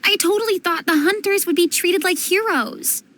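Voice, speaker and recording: A young woman playing a cheerful, talkative young noblewoman, chatty and quick to react, in game dialogue. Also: very high voice, background noise. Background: game music.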